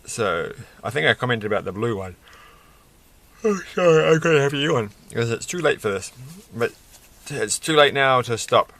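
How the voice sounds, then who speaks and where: A middle-aged man with a croaky voice, chatting casually and haltingly through a hobby build, often searching for words. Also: mid-range voice, expressive, a bit bright. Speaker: Lego build video creator. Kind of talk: casual hobby vlog, unscripted commentary while building. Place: indoors at a desk.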